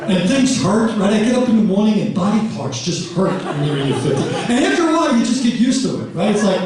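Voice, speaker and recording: High-voiced man in his fifties, stand-up comic, lively exasperated delivery, distant mic in an echoey hall, big laughing crowd. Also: quick pace. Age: 50s. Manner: loud, exasperated, rising to shouts. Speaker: stand-up comedian. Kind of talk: stand-up comedy set. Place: live comedy theater stage. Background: laughing audience.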